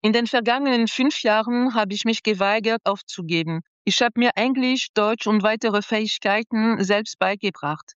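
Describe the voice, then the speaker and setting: A woman reading the translation of an interview in the first person, calm, earnest and deliberate. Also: medium pitch, clean tone, dry recording, dull audio. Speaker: voice-over translator.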